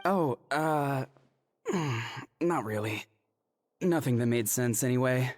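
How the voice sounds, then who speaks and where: An awkward, apologetic bystander in a video game. The voice is extremely low and slow, halting and hesitant, over clear background noise. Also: female voice, lively intonation, exaggerated voice. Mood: nervous, evasive. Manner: halting, throat-clearing, evasive.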